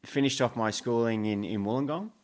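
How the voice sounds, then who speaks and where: A man's voice: medium pitch, a little fast. An Australian man in his fifties, a former soldier, chatting in an interview with frequent ums and restarts. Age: 50s. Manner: relaxed, many ums and restarts. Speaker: guest, former special forces soldier. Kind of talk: casual interview. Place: living room at home.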